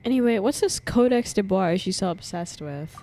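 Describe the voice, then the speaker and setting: A woman's voice: medium pitch, lively intonation, moderate pace, dark tone. A woman streaming a game with casual live commentary, playful, slangy and sweary. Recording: game music behind. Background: game music.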